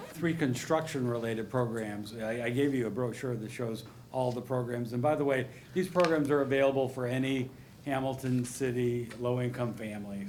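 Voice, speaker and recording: A man's voice: medium pitch, a little mellow, a little hiss or hum. A man presenting his home repair program to a city council, earnest and rambling, often correcting himself. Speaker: nonprofit program director. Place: council chamber.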